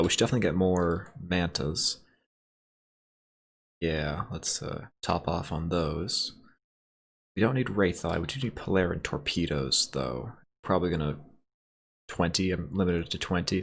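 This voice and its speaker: A man hosting a let's play, chatting casually and reading the game's story text aloud, his voice a little raspy from congestion. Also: deep voice, slight background noise. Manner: relaxed, thinking aloud while shopping for upgrades.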